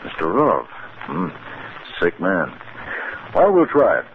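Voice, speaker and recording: An actor playing a businesslike research doctor in a 1950s radio drama, explaining things matter-of-factly. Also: male voice, deep voice, huge pitch swings, slow pace, heavily acted. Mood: explanatory. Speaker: psychiatric doctor. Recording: narrow-band old radio recording, faint noise.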